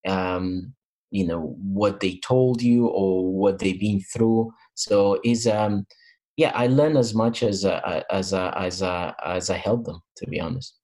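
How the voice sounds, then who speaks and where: ポルトガル語が母語の男性、とても低い声、インタビューで「えー」と言いよどみながら話す。. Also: male voice, deep voice, slow pace, mellow. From Portugal and Africa. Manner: hesitant, many fillers and restarts. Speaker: interview guest, mentor. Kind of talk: interview answers. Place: in England.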